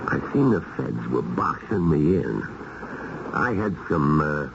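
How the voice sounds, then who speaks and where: An actor playing a gruff, middle-aged American gangster and ailing father in a 1970s radio drama, wheedling in tough, ungrammatical underworld slang. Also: rumbling low, heavily acted. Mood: guarded. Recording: noisy, dull old radio recording.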